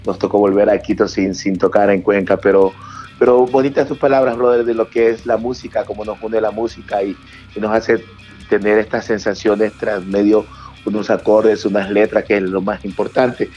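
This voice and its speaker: Male interview guest, coastal Ecuadorian, lowish voice, colloquial chat, muffled phone sound. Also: fairly even intonation, normal speed, a little mellow. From Manabí, coastal Ecuador. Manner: informal, colloquial, repeats phrases. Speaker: guest, rock band singer and leader. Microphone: telephone call. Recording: dull phone line, music behind. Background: music.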